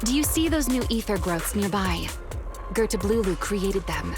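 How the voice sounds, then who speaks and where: A woman's voice: medium pitch, animated, normal speed, lightly acted. A woman playing a composed, teasing young woman fighter with a hidden past, in theatrical video game acting. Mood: composed, explaining. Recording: music under the voice.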